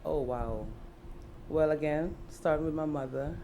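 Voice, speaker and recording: Mellow-voiced woman artist from Trinidad. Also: sits low, unhurried, slight background noise. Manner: reflective, frequent "you know" fillers. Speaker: artist and fashion designer, guest. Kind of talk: interview answers.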